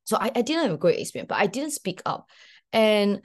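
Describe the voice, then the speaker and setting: A woman's voice: medium pitch, sing-song, a little fast. A young woman with a Hong Kong accent, a podcast guest telling her story in a casual, hesitant way with many fillers and restarts. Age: young adult. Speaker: podcast guest, graduate student.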